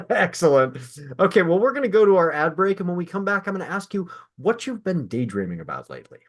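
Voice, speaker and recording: A man's voice: high-pitched, big pitch swings, fast. A man hosting a podcast, talking in a casual, chatty way with guests. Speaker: podcast host interviewing an author. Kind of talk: casual chat.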